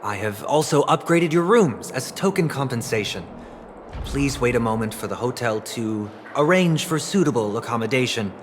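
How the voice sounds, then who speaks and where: A man playing a courteous, composed leader of a powerful family in a video game, speaking formally and with measured grace. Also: medium pitch, expressive, slight background noise, close to an everyday voice. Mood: polite, reassuring. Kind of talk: English video game voice acting. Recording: music behind the voice.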